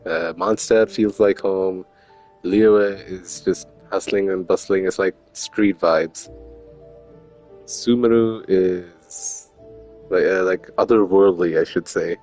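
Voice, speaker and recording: A man's voice: low voice, steady tone, slow pace, a little mellow, rough recording. A man streaming a game with casual, rambling, slangy commentary. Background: faint game music.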